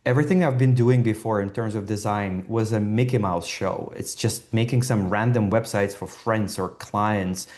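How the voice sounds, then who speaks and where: Male, 40s, low voice. Candid, self-deprecating storyteller giving an informal designer talk. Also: moderate pace, hardly any pauses, darkish tone. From Estonia.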